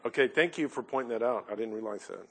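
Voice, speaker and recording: Loud earnest male preacher. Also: mid-range voice, fast talker, slight background noise. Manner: earnest, reads verses with rising force. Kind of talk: sermon with hymn reading.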